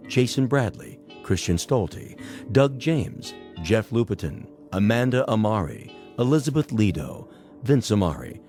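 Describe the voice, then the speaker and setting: A man reading scripted broadcast credits as an announcer, measured and formal. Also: on the low side, darkish tone.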